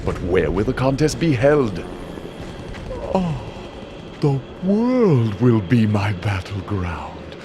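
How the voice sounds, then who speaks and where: A sinister master of ceremonies from a video game. He narrates with theatrical grandeur and gloating menace, his pitch roaming widely from line to line. The old recording carries clear noise. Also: huge pitch swings. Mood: grim, grandiose, then menacing, gloating. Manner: grandiose, theatrical, drawn-out delivery. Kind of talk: dramatic villain monologue and narration. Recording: heavily noisy old game audio.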